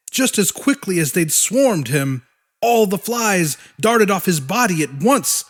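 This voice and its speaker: A man's voice: high voice, fairly bright tone. A man narrating a fiction audiobook in a dramatic, scripted storytelling style.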